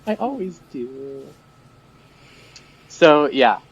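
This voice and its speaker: A man of ordinary middle pitch co-hosts a relaxed podcast chat, his voice worn as he is losing it. He rambles along with plenty of fillers and restarts. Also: very slow, husky, dull audio. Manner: chatty and rambling, many fillers. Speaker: podcast co-host. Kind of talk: casual chat.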